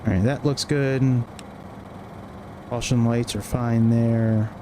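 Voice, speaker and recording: A male flight sim streamer talks himself through procedures at an unhurried, slow pace. His voice sits at a medium pitch, in short, clipped phrases with a casual feel. Steady cockpit engine noise runs clearly behind him. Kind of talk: casual thinking-aloud checklist walkthrough. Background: cockpit ambience and engine noise from flight simulator.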